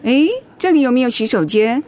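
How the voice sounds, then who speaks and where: A woman's voice: on the high side, lively intonation, brisk, a little mellow, lightly acted. A woman acting a protective middle-aged mother-in-law in a radio drama, outspoken and motherly. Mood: breezy, polite. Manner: indignant, emphatic when provoked, motherly.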